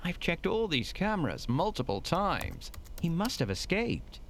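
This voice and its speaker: A woman's voice: on the low side, big pitch swings, a little slow, slight background noise. An actress in an audio drama playing a cautious, protective woman hotel manager with a firm, proper manner.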